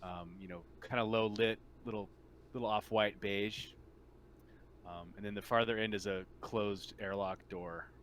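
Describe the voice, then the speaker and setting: A man running a tabletop role-playing game, improvising scene narration in a halting, informal way. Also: fairly low pitch, fairly even intonation, a bit bright. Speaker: game master. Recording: noisy room with typing.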